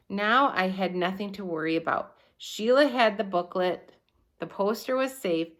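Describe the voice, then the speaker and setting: A woman reading a children's story aloud in an animated, expressive storytelling manner. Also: on the low side. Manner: animated, acts out the dialogue. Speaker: storybook reader.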